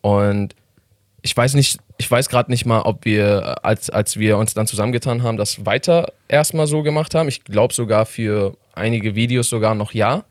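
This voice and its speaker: A lowish male voice with a slight Iranian accent, recorded dry and close. He talks as an interview guest about making films, pausing often with fillers and breaking into laughter. The delivery is halting and relaxed. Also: dry recording. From Iran. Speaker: guest, filmmaker and YouTuber. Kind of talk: casual interview chat.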